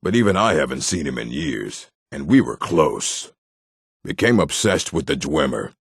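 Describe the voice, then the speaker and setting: An actor playing a gruff, scornful male orc in a fantasy video game, with a rough voice and a weighty, emphatic delivery. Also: sing-song, normal speed, no echo, clean studio sound, heavily acted. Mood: somber. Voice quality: deep, gravelly, rough.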